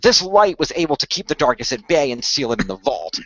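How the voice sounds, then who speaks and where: A man improvising the part of an earnest company insider, explaining things in a measured, hesitant way as a game master. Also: medium pitch, sing-song, fairly bright tone, dry recording, dull audio. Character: earnest, measured company insider revealing a secret magical order. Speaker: game master playing a character. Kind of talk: improvised in-character exposition for a role-play story.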